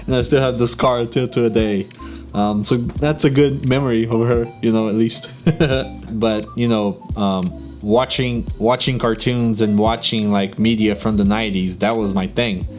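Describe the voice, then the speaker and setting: A man's voice: lowish voice, fairly even intonation, measured pace, darkish tone, faint music behind. A young man from Brazil with a Brazilian Portuguese accent, talking casually to camera, laughing often and filling gaps with ums. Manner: chatty, laughs often, many fillers and restarts. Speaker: YouTuber.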